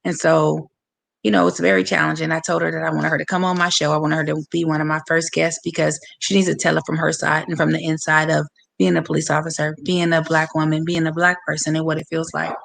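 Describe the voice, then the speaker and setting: Hoarse woman on a video call, super gravelly, talks straight to the viewers. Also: low voice, little pitch movement, quick pace. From San Francisco, USA. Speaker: guest, boxer. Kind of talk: livestream interview.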